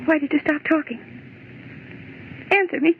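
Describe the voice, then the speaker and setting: An actress playing a timid, superstitious young American typist in 1940s radio horror style, nervous and pleading, on a scratchy old radio recording. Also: female voice, high voice, moderate pace, narrow, tinny audio. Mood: hysterical dread.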